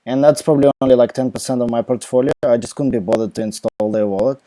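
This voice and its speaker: A young Ukrainian-accented man answers questions live from home into his laptop microphone. His voice is very dark and mellow, and the sound is dry and close. He rambles casually, full of fillers and false starts. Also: medium pitch, steady tone, quick pace, dry recording. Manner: rambling, many fillers and restarts. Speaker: crypto live Q&A host. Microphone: laptop mic.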